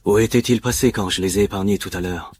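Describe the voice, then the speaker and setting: A man voicing a cold, ruthless cat gang boss in a dubbed animated series, commanding and menacing. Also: on the low side, fast talker, close to an everyday voice.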